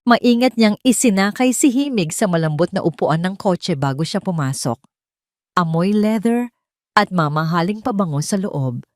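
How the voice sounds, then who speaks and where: Low-voiced woman narrating drama. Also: no room sound, clean studio sound. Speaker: storyteller. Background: soft music.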